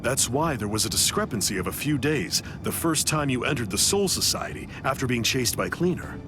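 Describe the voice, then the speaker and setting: An actor playing a grave, knowing warrior mentor in an anime game, explaining seriously and with command. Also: male voice, mid-range voice, lively intonation, a little fast, over music, natural voice. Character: grave, knowing Soul Reaper mentor to a young fighter. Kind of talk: English anime game voice acting.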